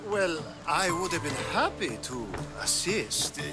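An actor playing a flirtatious, smug, skinny dandy in a video game cutscene, silky and teasing. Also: male voice, a little high, sing-song, slow, over loud music.

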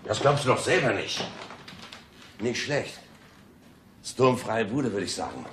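An actor in a radio drama playing a pushy, flirtatious ex-husband who teases and wheedles. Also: male voice, mid-range voice, big pitch swings, measured pace. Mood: teasing, flirtatious. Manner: teasing, wheedling. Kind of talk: German radio drama acting. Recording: echoey room, clear background noise.

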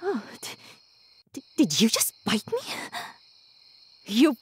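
A voice actress playing a sadistic, possessive female demon lover in an intimate ASMR roleplay, breathy and lingering, often dropping into a whisper, swinging between coaxing and menace. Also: fairly high pitch, huge pitch swings, takes it slowly, dry recording, heavily acted. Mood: enraged, hurt.

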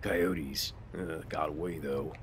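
A man's voice: extremely low pitch, steady tone, slow pace, slight background noise, pitched below the performer's own voice. A man voicing a bitter, laconic ex-military sniper, terse and grumbling, in a motion comic. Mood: dry. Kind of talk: motion comic character acting.

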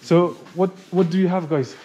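Man, thirties, travel vlogger, fairly high voice, friendly chatty delivery, action camera up close, faint background hiss. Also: steady tone, normal speed, mellow, lo-fi sound. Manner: friendly, chatty, many fillers and "guys" to camera. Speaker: motovlogger host. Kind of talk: casual travel vlog chat. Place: outdoors in a mountain village and a small roadside restaurant.

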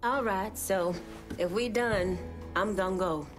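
A woman's voice: medium pitch, measured pace, lightly acted. An actress playing a cool, self-assured female crime boss, challenging and defiant, in a video game. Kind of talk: dramatic video game acting. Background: music.

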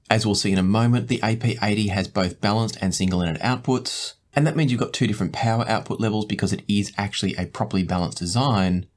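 A man, hosting a product review in a measured, explanatory way. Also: fairly low pitch. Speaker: host, audio gear reviewer. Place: small indoor home studio room.

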